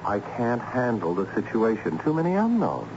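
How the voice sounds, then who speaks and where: Bewildered low-voiced schoolteacher, muffled radio. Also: male voice, huge pitch swings, moderate pace. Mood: baffled, protesting. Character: bewildered, educated schoolteacher held captive. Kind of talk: old-time radio drama acting. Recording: muffled, noisy old radio recording.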